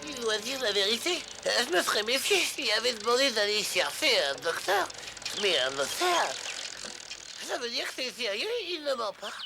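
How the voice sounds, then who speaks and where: A woman's voice: a little high, big pitch swings, moderate pace, vocal fry, very noisy. A woman playing an earnest, worried, gullible small animal in a cartoon, anxious and rambling. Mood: shocked. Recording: loud music behind the voice.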